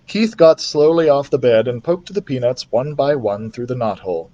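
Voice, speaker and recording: A man reading a children's book aloud as a library storyteller, in an expressive style. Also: mid-range voice, moderate pace, highs cut off.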